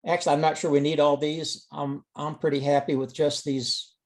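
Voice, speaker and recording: A man speaks in a fairly high register as he presents experimental data on a teleconference. His style is informal and explanatory, working through the material one step at a time. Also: level tone, normal speed. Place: online teleconference call.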